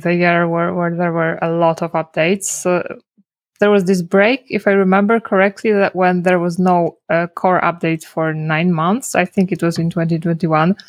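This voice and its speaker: A woman speaks English in a fairly low, flat voice. She talks hesitantly, restarting phrases and leaning on "like". The sound is dry and close, with no room around it. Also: no room sound. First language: Polish. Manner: hesitant, many restarts and "like" fillers. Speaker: guest, SEO consultant. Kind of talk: casual interview.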